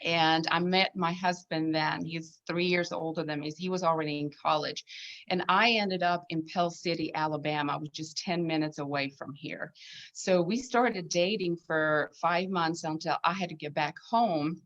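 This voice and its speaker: Low-voiced Swedish-accented woman. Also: steady tone, normal speed, a bit bright, dull audio. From Sweden. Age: 50s. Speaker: podcast guest, needlepoint designer. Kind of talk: casual chat.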